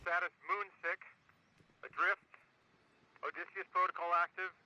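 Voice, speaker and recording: A stranded man's voice coming through a crackly, distant radio channel in a game, high and piercingly bright. He speaks in terse, clipped reports. Faint noise sits under the signal. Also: little pitch movement, unhurried, muffled recording, exaggerated voice. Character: distant adrift male radio transmitter voice. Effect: radio transmission. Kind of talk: video game voice acting.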